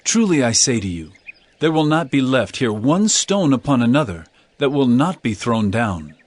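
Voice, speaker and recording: An actor playing a solemn, authoritative holy teacher prophesying in an earnest, declamatory way in a dramatized audio Bible. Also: male voice, mid-range voice, sing-song, moderate pace, dry recording. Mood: solemn warning.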